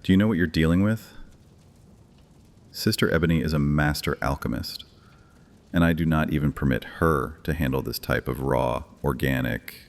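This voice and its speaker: An actor playing an ancient, imperious vampire high priest in a horror audio drama, commanding, mocking and theatrical. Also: male voice, normal speed, creaky. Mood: cool condescension. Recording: long cavernous reverb, faint music underneath.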